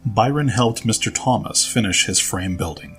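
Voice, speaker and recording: Low-voiced man narrating a story. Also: expressive, moderate pace, fairly bright tone, soft background music.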